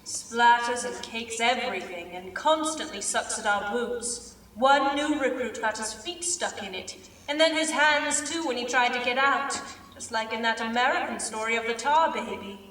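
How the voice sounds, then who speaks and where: A woman's voice: on the high side, moderate pace, fairly bright tone, close to an everyday voice. A woman playing a hopeful British soldier writing to a sister, reading vividly in reader's theater style. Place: large indoor theater stage. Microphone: far camera. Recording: echoey theater stage, distant, faint noise.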